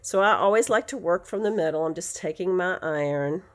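A woman's voice: lowish voice, brisk. A woman with a nasal voice, chatty and rambling, teaching a quilting craft at her sewing table. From United States. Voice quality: nasal from allergies. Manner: chatty, rambling asides, self-correcting. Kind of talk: casual craft tutorial. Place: home sewing table, indoors.

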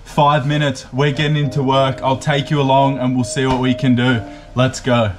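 A man's voice: mid-range voice, little pitch movement, normal speed, over music. A man vlogging casually from a remote island lighthouse, chatting as he walks viewers through his morning chores. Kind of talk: casual first-person vlog narration.

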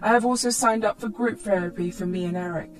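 A woman's voice: medium pitch, quick pace, soft background music. A woman reading a scripted first-person dramatic story as a storytime narrator.

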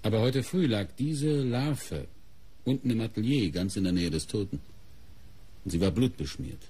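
A police inspector in a radio crime play, probing and coolly suspicious. His voice is low, mellow and somewhat husky. He questions deliberately, with pointed pauses and a lot of movement in his pitch. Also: lively intonation. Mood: suspicious, insistent. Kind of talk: German radio crime drama acting.

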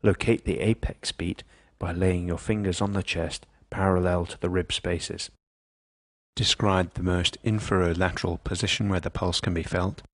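A man reading scripted medical instruction in a measured, precise, impersonal manner. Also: sits low, fairly even intonation, no echo. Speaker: instructional narrator.

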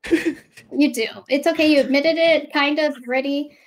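Chatty high-voiced woman in her twenties. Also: fairly even intonation, a little slow, low-quality recording. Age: 20s. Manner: chatty, lots of "like". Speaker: co-host. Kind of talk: casual chat. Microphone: video call.

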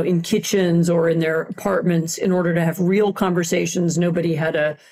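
A middle-aged woman, a guest historian in a podcast interview, explaining in a measured way with frequent "um" and "you know". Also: on the low side, darkish tone.

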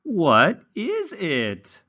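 Very slow, animated male children's teacher. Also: sits high, muffled recording. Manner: carefully enunciated, instructional, exaggerated intonation. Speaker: English teacher for young learners. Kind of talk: slow read-along lesson narration for children.

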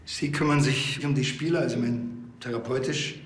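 An actor playing a dry, laconic Bavarian policeman in a TV crime comedy, with deadpan, terse delivery. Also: mid-range voice, normal speed, slightly rough, natural voice. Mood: dry, probing. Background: background music.

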